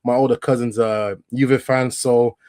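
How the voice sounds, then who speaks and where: A young man from northern Italy who speaks with an English accent, relaxed and chatty, full of "um"s and "you know"s. His voice sits at a middling pitch and sounds close and dry, with no room around it. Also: a little slow, clean tone, no echo. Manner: laid-back, chatty, lots of fillers. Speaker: guest, football content creator. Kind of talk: casual podcast chat.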